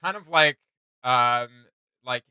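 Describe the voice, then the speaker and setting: Guy hosting a reaction vid, super bright forceful voice, dry close sound, chatty and into it. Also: mid-range voice, takes it slowly, clean tone, no echo. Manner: enthusiastic. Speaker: reaction video host. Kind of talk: casual reaction chat.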